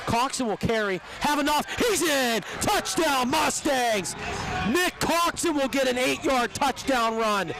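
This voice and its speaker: A man with a high, squeaky voice calls a football game play by play. He is a little under the weather but stays quick and energetic through the action. His tone is that of live sports commentary. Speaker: play-by-play announcer.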